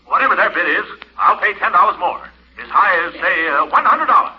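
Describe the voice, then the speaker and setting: An actor in 1940s radio comedy playing a grumbling, eager customer with a stammering, blustery manner. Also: male voice, high voice, lively intonation, a little fast, a little hiss or hum. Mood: indignant. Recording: dull vintage radio recording.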